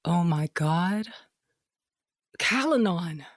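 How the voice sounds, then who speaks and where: Unhurried, earnest mother. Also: fairly low pitch, animated, no room sound, clean studio sound, exaggerated voice. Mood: exasperated. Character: worried, caring mother held in a fantasy jail. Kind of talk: naturalistic audio drama acting.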